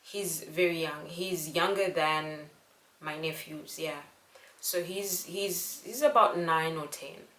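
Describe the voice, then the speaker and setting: A young woman from Zimbabwe, telling a personal story to camera in an earnest, unhurried way. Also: low voice, a bit bright. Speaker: vlogger. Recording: echoey room.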